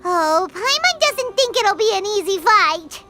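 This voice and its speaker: A woman voicing a small, excitable, chatty childlike fairy companion in a video game, squeaky and exclamatory. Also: unhurried, over loud music, heavily acted. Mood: worried. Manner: refers to self in third person, exclamatory. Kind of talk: video game cutscene dialogue.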